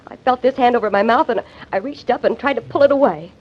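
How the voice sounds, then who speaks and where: An actress voices an earnest, defiant young heroine in an old radio mystery. Her voice is high, and the recording has the dull, muffled sound of a vintage broadcast. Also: quick pace, a little hiss or hum, close to an everyday voice. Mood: insistent. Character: spirited young woman, wounded heroine. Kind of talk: 1940s radio acting.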